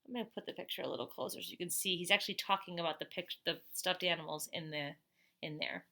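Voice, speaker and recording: A woman reading a picture book aloud, expressive, with chatty asides to young listeners. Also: lowish voice, quick pace.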